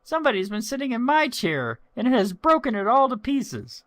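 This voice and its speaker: A man's voice: very high-pitched, big pitch swings, brisk, a bit bright, no echo, voice pushed up, exaggerated voice. A man voicing a small young bear in a storybook, piping and aggrieved. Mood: plaintive, indignant.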